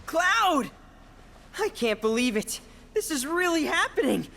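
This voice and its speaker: A woman playing an eager, bubbly young racing fan in a game cutscene, gushing and excitable. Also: sits high, unhurried, creaky, exaggerated voice. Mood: thrilled.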